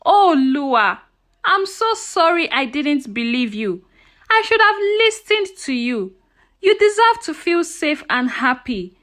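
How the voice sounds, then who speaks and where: An emotional mother in a spoken folktale, played by a woman narrator. Her voice is high and swings widely as she speaks with dramatic emphasis, fiercely protective of her child. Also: sing-song, takes it slowly, a bit bright, full character voice. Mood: remorseful, tender. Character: heartbroken, indignant mother, protective of her young daughter. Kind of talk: folktale storytelling character dialogue.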